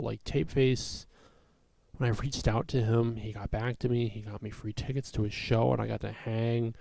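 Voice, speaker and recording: Rambling raspy-voiced young man. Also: mid-range voice, darkish tone, dry recording. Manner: rambling, full of fillers and restarts. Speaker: comedian. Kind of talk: rambling personal vlog.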